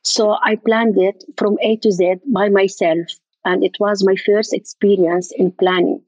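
An older woman from Jordan talks through an interview haltingly, pausing to find her words. Her voice sits at a middle pitch. It comes over a dull, muffled line in a dry, close sound. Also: little pitch movement, darkish tone, no echo. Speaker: event designer, guest. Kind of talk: interview conversation.

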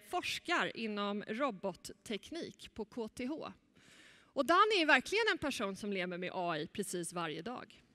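A young woman introduces a conference keynote from a large stage, her fairly high voice rising and falling with plenty of movement. She reads from prepared notes into a headset mic, and a long hall reverb trails behind each phrase. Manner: lively, scripted presenting. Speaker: event moderator. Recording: echoey hall.

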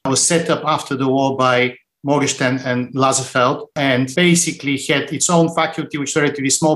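A man's voice: medium pitch, a bit bright. A middle-aged man from Bulgaria, a finance executive, answering interview questions thoughtfully with restarts and fillers.

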